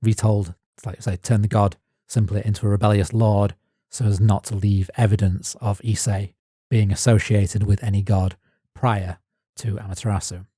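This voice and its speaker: A man co-hosting a podcast, reading his prepared notes as measured narration. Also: lowish voice, flat delivery, warm tone, breathy edge, dry recording, studio quality. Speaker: podcast co-host. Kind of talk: scripted narration.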